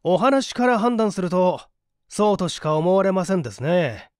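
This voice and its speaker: A man voicing a calm, inquisitive gentleman detective in an audiobook, asking politely and analytically. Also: high-pitched, moderate pace, smooth, dry recording, studio quality. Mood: calm, inquiring. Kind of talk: dramatized audiobook reading.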